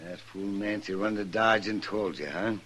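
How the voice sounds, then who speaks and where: A man's voice: on the low side, fairly bright tone. A man playing a mean, stubborn old horse rancher in a radio western, with a defiant, folksy drawl and an American Western accent. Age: older. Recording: old radio recording with noise.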